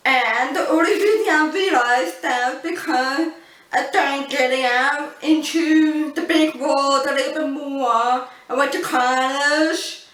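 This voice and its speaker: A woman's voice: sits high, a bit bright, distant mic. Young woman with a deaf accent. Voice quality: open-mouthed, heavy emphasis on sounds. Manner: slow, earnest, with frequent ums. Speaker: vlogger. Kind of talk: casual storytelling to camera. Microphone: built-in camera mic. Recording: roomy, off-mic camera audio.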